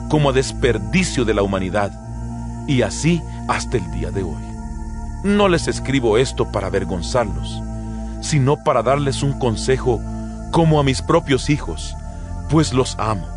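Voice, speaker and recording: An actor playing the earnest, exhorting apostle Paul in a dramatized Bible reading, solemn and measured, with rhetorical delivery. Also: male voice, mid-range voice, lightly acted. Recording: music bed behind the voice.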